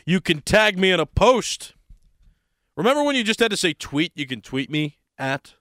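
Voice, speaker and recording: An American man hosts sports talk radio in a fairly high, clean voice with a touch of hoarseness. He talks casually and takes his time, pausing mid-thought. The sound is close and dry. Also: very animated, fairly bright tone, dry recording. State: slightly hoarse.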